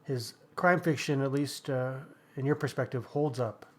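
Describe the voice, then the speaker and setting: A man's voice: mid-range voice, normal speed. A man co-hosting a podcast, chatting casually and informally about books. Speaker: podcast co-host. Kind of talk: casual book chat.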